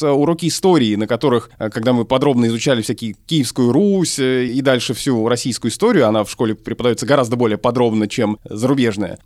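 A man from the Kaluga region with a mid-range voice, chatting casually as a podcast co-host. He pauses with small fillers, and the sound is dry and close. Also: mid-range voice, a little mellow, dry recording. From Kaluga region, Russia.

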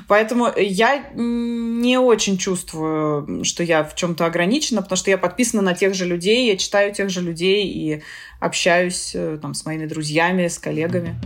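A woman answering interview questions as a guest, talking in a lively way and laughing often. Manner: lively, often laughing, rising pitch when she starts answering. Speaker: guest, Russian teacher and journalist.

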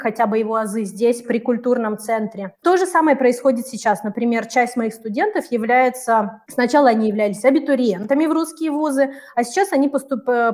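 A woman's voice: on the high side, a little mellow. A woman from the far north, talking fluently as an interview guest and explaining things with little quoted examples. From Murmansk region, Russian far north. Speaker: interview guest, Russian language teacher living in Tanzania. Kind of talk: conversational interview answers, explaining and storytelling.

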